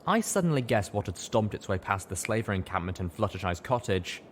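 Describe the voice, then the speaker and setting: A man's voice: lowish voice, big pitch swings, a little fast, slight background noise. A man reading a first-person story as scripted audiobook narration. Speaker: audiobook reader.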